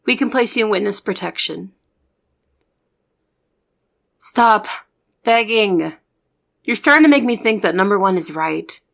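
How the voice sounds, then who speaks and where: A woman's voice: mid-range voice, expressive, measured pace, gravelly, long pauses, fairly bright tone. A woman playing a young trainee secret agent in an audio roleplay, the good cop who reasons and coaxes. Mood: coaxing, stern. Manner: persuasive, reasoning, exasperated with partner. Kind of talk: ASMR roleplay audio drama.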